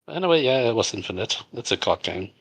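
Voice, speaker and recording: A man's voice: mid-range voice, very animated, quick pace, gravelly, slightly rough. A middle-aged man from Hong Kong, a native Cantonese speaker, casually reading out and answering community questions with many fillers and restarts. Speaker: game studio founder answering community questions.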